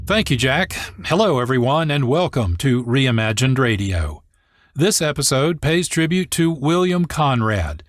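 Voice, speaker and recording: A man hosting a radio program, reading scripted, explanatory narration in a measured way. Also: medium pitch, dry recording, studio quality. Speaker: radio show producer and host.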